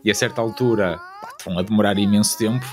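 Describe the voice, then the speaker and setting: A man with a European Portuguese accent chatting casually in an interview, in a hesitant, halting way. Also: on the low side, over music. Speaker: interviewed father.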